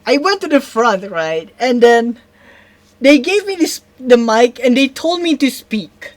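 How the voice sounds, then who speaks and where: A woman's voice: on the high side, animated, a little slow, dry recording. A young woman from Indonesia with an Indonesian accent, telling a story in a casual vlog, rambling, stopping to correct herself and often asking "right?". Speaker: vlogger.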